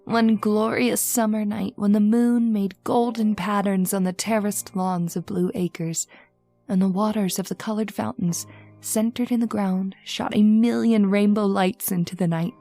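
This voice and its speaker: A woman's voice: mid-range voice, steady tone, unhurried, vocal fry, dark tone, soft background music. A woman playing a lonely, romantic twenty-one-year-old daughter in an old-time radio drama, with a wistful, formal manner. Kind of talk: old-time radio horror drama acting, first-person narration.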